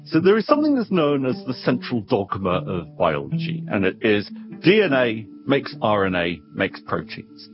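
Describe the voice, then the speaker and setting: A man speaking as an expert guest, explaining things conversationally in an animated, wry way, sometimes doubling back on his words. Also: on the low side, moderate pace, muffled recording, over music.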